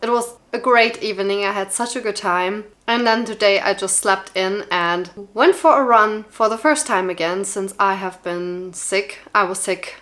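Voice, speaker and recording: Excitable young woman vlogging with a cold. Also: mid-range voice, moderate pace, few pauses. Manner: gushing and excitable, with frequent fillers. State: recovering from a cold. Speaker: book vlogger. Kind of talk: casual vlog talk to camera.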